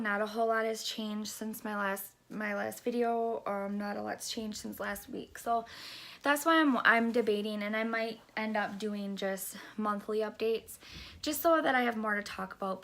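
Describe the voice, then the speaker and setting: A woman's voice: on the high side, fairly even intonation, normal speed, a bit bright. A woman in her thirties with a stuffy, congested nose, chatting casually in a rambling pregnancy update vlog, recorded on a camera mic in a small bedroom. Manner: chatty, rambling, many fillers and restarts. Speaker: vlogger.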